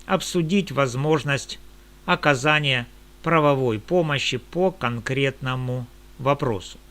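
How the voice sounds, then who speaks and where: A man who works as a lawyer, giving a measured, indignant monologue in formal legal language. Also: mid-range voice, a bit bright.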